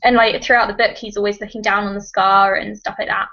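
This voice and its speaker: A woman's voice: mid-range voice, level tone, fast, pushed and bright. A woman in a casual book discussion, speaking informally and giggly, with lots of "like.". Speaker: guest on book discussion live show. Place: video call live stream. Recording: slightly dull lo-fi call audio.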